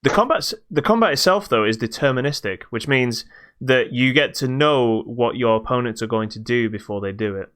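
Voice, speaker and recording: A man's voice: medium pitch, animated, a little fast, fairly bright tone, clear, resonant tone. A man co-hosting a gaming podcast, chatting casually through game reviews with a dry, wry humour. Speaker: podcast co-host reviewing games.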